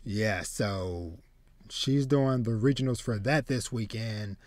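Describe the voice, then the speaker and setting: A man in his thirties hosting a casual podcast, speaking haltingly and often repeating words. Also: on the low side, a little mellow, no echo. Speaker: podcast host. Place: small home room with shelves, video call. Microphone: close desk mic.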